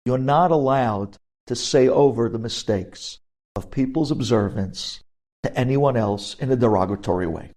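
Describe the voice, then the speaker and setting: A man with a mellow, relaxed tone and a medium pitch delivers a religious lecture as a rabbi. He addresses his listeners directly and stresses his points firmly. Hebrew religious terms are woven through his sermon-like delivery. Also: normal speed. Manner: emphatic, addresses listeners directly with rhetorical questions. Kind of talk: sermon-like lecture with storytelling and acted-out dialogue.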